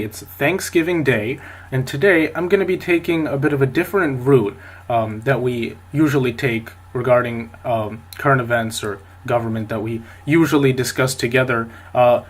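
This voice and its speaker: A man's voice: medium pitch, fairly bright tone. A man hosting a solo podcast, talking with a stuffy nose from a cold, rambling along with plenty of fillers and restarts. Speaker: podcast host.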